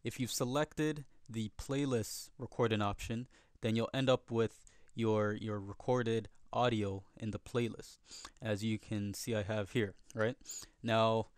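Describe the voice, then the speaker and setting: A man narrating a software tutorial casually and conversationally, often checking in with the listener, recorded as a close voice-over. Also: fairly low pitch, clean tone, dry recording. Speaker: tutorial host.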